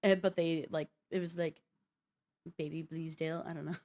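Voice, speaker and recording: A woman's voice: sits low, fairly even intonation, moderate pace, a little mellow. A woman co-hosting a live stream in casual, unscripted chat, often thinking aloud and peppering her talk with "like". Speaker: podcast and live stream co-host.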